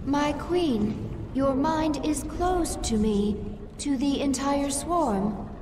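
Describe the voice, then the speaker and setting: An actress playing a dutiful alien advisor who speaks slowly and formally. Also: female voice, sits high, in a large hall, distant mic, background noise. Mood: detached. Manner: slow, formal, detached. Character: alien swarm advisor, female, dutiful, cold.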